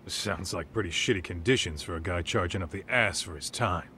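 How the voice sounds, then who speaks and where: An American man playing a gruff, blunt, streetwise detective's sidekick in a game cutscene, talking informally. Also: low voice, fairly quick, pushed and bright, slight background noise. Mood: blunt, puzzled. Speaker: video game voice actor. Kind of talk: English dub game cutscene dialogue.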